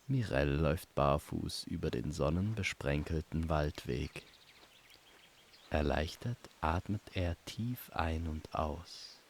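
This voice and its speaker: Very deep, slow, creaky male storyteller. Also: a little hiss or hum. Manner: calm, unhurried, soothing bedtime-story delivery. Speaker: storyteller and host. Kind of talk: slow scripted fantasy audio storytelling.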